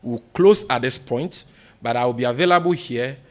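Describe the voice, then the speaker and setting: A man with a Ghanaian accent speaking as an opposition party spokesperson at a press conference, in a formal, deliberate way with emphatic repetition. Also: mid-range voice, a little mellow. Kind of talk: press conference remarks, answering questions.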